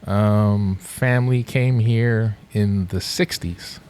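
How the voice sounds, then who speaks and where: A middle-aged man from Los Angeles, a podcast guest telling his story in a relaxed, rambling way with lots of fillers. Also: on the low side, slow pace, smooth. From Los Angeles, USA. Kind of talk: casual podcast interview.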